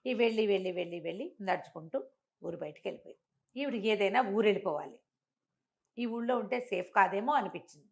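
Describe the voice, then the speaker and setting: A woman's voice: medium pitch, fast, vocal fry. A woman storyteller with a mid-range voice. She narrates a moral tale in a conversational way, explaining details as she goes. She often repeats phrases and slips into dialogue. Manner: explanatory, uses repetition and acts out dialogue in passing.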